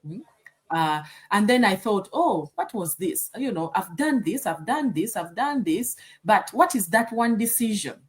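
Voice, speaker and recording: A woman's voice: mid-range voice, sing-song, measured pace. A woman from Uganda, a nurse and mentor, chatting as a guest and thinking aloud with friendly fillers. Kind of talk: casual chat.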